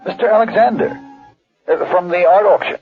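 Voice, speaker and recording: An actor playing a nervous, polite art auction dealer in a radio drama, hesitant and stammering. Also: male voice, high voice, normal speed, creaky, slightly rough, faint music behind, exaggerated voice. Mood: hesitant, eager. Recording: dull, dry old radio drama audio.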